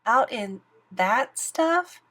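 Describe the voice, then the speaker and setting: An earnest young page boy in a storybook, worried and urgent, his voice pitched high as he calls out warnings, with a woman putting him on. He sounds anxious even when he speaks quietly. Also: extremely slow, full character voice. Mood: doubtful.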